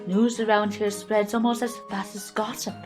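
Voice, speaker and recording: A woman's voice: medium pitch, level tone, moderate pace, over music, close to an everyday voice. A woman playing a composed, confident spirit testifying in an amateur audio drama. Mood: matter-of-fact. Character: knowing female spirit witness, composed and confident.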